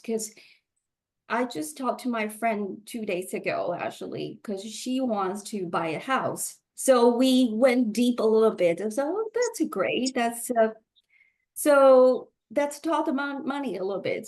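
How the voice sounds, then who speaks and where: A woman taking part as a guest coach on a video call, speaking slowly and haltingly, with fillers and light laughs. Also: on the high side, a little mellow. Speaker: money mindset coach. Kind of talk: guest interview. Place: Vancouver, Canada.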